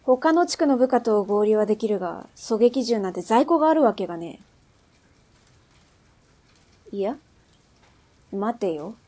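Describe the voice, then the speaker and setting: An actress playing a tough, brusque female underworld boss and mother in a radio drama, speaking in a rough, mannish, commanding way. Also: medium pitch, expressive, normal speed, mellow, close to an everyday voice. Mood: brisk. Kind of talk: Japanese radio drama acting.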